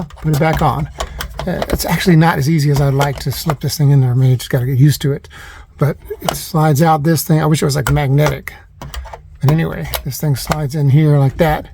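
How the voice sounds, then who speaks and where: A man narrating a casual hands-on how-to in an informal, rambling way, repeating himself as he goes. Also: fairly high pitch. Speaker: vlogger showing a DIY installation. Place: inside and around a parked van. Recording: noisy handheld recording with clicks.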